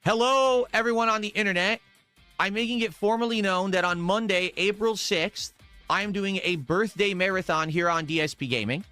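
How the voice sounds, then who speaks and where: Middle-aged man, sharp forceful tone, slightly high pitch, formal streamer announcement, music behind. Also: normal speed, clear, resonant tone. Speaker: video game streamer.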